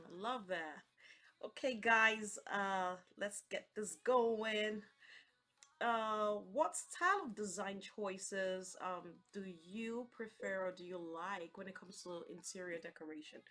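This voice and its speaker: A woman's voice: mid-range voice, slow, a bit bright. A woman hosting an interview in a friendly, chatty style with an effusive, encouraging manner. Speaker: host.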